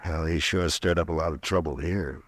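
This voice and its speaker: An actor in a 1950s radio western playing a gentle, stammering frontier marshal who also narrates the story. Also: male voice, deep voice, steady tone, moderate pace. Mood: hesitant, gentle. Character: weary, kindly frontier marshal, grown man.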